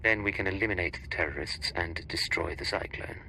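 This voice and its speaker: Sharp, bright-voiced hardened agent. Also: male voice, deep voice, brisk, vocal fry, slight background noise, heavily acted, poor audio. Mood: resolute. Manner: terse, dry, resolute. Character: hardened, dry-witted male secret agent. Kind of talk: scripted game cutscene acting.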